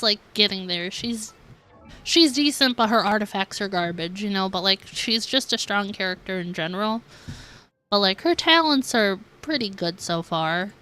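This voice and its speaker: A woman's voice: mid-range voice, bright, forceful tone, clear, resonant tone. A woman livestreaming a game, chatting casually and informally in a giggly, self-deprecating way. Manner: informal, peppers speech with "like". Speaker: game streamer, host. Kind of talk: casual livestream chat while playing a game.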